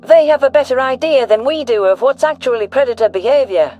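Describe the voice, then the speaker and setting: Narrator as female alien leader in a sci-fi story: higher, louder, earnest, defiant public-speaking delivery. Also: brisk, faint music behind, lightly acted. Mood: firm.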